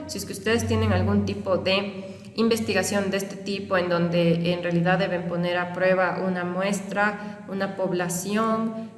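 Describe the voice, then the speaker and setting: A young woman giving a recorded university lecture, explaining step by step and often checking understanding with rhetorical questions. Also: medium pitch. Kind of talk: recorded online lecture over slides. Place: small room indoors. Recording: roomy, reverberant, distant webcam audio.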